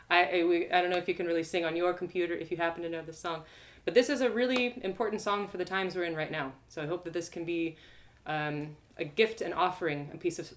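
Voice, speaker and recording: A woman's voice: fairly low pitch, slight background noise. An American woman talking casually as storyteller and host to a live online audience on a video call.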